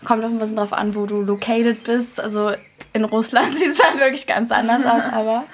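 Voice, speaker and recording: A woman's voice: on the high side, steady tone, moderate pace. A woman in her twenties from Berlin, a dancer guest chatting in a podcast with many fillers. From Berlin, Germany. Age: 20s. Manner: chatty, laughing, full of fillers. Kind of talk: casual podcast chat.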